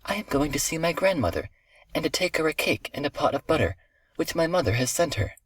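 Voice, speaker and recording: A man's voice: a little high, very animated, brisk, husky, no room sound, pitched above the performer's own voice, low-quality recording. A man voicing an innocent, cheerful little village girl in a storybook tale, with a sweet and polite manner.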